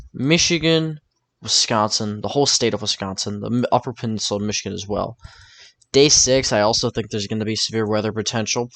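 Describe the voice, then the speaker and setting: A man's voice: on the low side, fairly bright tone, no room sound. A man talking informally through a weather forecast over maps, thinking aloud in a casual, tentative way. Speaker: weather forecaster presenting his own video.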